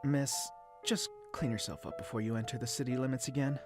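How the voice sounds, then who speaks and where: A man's voice: mid-range voice, brisk, music in the background, close to an everyday voice. A man voicing a young, well-spoken, kindly canine guard, polite and a little hesitant, in an audio story. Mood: polite, puzzled. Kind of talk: audio story character dialogue.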